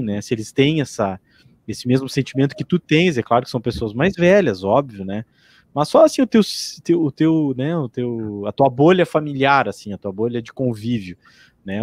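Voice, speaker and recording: A man's voice: medium pitch, lively intonation, moderate pace, darkish tone, dry recording. A man hosting a casual podcast interview, feeling his way through rambling, tentative questions. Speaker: podcast host.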